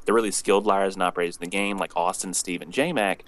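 A man's voice: low-pitched, steady tone, fast, fairly bright tone, slight background noise. A man from Texas leaving a prepared opinion message as a voicemail caller. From Texas, USA.

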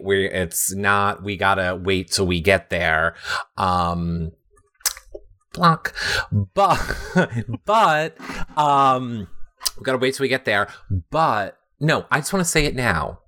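A man hosting a casual recap show, playful and animated, with sing-song asides. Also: lowish voice, slow pace. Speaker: podcast host.

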